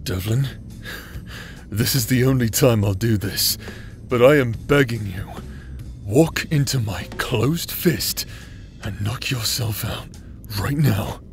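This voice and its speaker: A battle-hardened, grumpy fantasy warrior, played by a man, sardonic and irritable. His words come slowly at an ordinary male pitch, with music underneath. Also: gravelly. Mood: angry, sardonic. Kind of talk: comedic fantasy audio roleplay.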